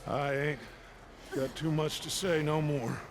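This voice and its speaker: An actor playing a middle-aged, embittered outlaw gang leader in a western video game, with an American accent and a measured, weighty, gravelly delivery. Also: male voice, a little high, steady tone, background noise. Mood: weary. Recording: loud music under the voice.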